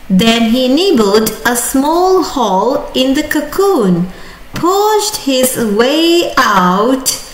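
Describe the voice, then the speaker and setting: A woman narrating a children's storybook in an expressive, deliberate, drawn-out read-aloud style. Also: high-pitched. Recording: roomy, echoing sound.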